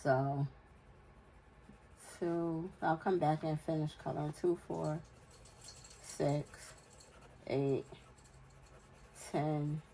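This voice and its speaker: A woman in her forties speaks slowly in a low voice, nasal and stuffed up from a cold. She thinks aloud and counts under her breath as she goes. A faint hum sits behind her at home. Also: steady tone. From United States. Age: 40s. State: congested from a cold. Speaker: budgeting vlogger. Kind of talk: casual talk while filling budget challenges.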